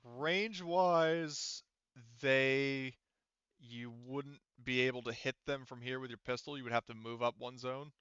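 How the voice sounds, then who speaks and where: Male game master, crisp and forward, dry close sound, improvising casually in halting bursts. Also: medium pitch, fairly even intonation, fairly bright tone, no echo, dull audio. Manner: halting, thinking aloud, casual swearing. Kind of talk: running a tabletop roleplaying game, casual improvised narration.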